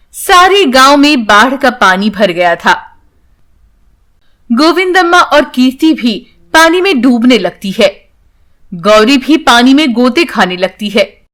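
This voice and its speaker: A woman narrates a children's story in a high-pitched voice. She takes it slowly, delivering scripted lines as the storyteller. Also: fairly bright tone.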